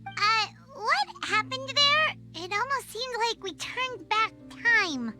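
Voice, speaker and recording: A woman voicing a small, excitable, curious childlike companion creature in a video game, with a squeaky voice. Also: takes it slowly, heavily acted. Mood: puzzled. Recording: loud music behind the voice.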